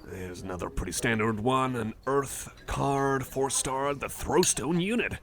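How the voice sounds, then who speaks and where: A man's voice: medium pitch, measured pace, a little hiss or hum. A man chatting casually to the listener in an ASMR roleplay, rambling with a hesitant, geeky enthusiasm. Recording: cafe chatter behind the voice. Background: cafe crowd ambience.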